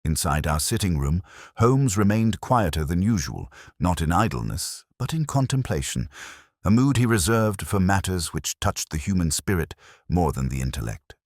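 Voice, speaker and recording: A man narrating a literary detective story from a script in a measured, solemn manner. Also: sits low, very animated, no echo, studio quality. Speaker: audiobook narrator.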